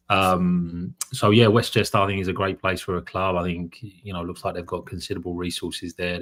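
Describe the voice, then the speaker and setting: A man, a head coach, answering interview questions in a measured, steady way. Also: deep voice. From from abroad, later lived in New York. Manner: measured, steady, with frequent "um" and "I think".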